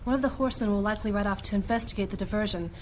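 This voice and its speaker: A woman's voice: medium pitch, rapid-fire, slight background noise, lightly acted. A woman playing a resolute, commanding mage with formal archaic diction in a fantasy audio drama. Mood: urgent, composed. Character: proud, commanding female mage, resolute and incanting spells.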